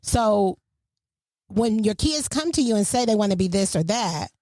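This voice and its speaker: A woman's voice: mid-range voice, lively intonation, normal speed, a little mellow, no room sound. A middle-aged woman hosting a podcast, talking casually and motherly, giving advice to parents. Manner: chatty, motherly, preachy asides to parents. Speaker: podcast host. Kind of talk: casual chat.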